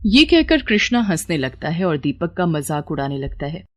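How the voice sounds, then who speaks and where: A woman's voice: sits low, very animated, moderate pace, no room sound, studio quality. A woman narrator reading a scripted moral story.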